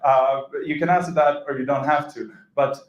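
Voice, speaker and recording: A man's voice: medium pitch, fairly even intonation, normal speed, reverberant, muffled recording. A man moderating a conference panel, hesitant, with many fillers. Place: conference hall.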